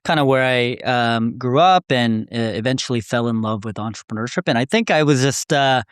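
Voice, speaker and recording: Upbeat young founder, smooth dry voice. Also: male voice, mid-range voice, no echo. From South Korea, raised in Canada. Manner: quick, conversational, frequent "like" and laughs. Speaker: startup founder and guest. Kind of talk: casual podcast interview.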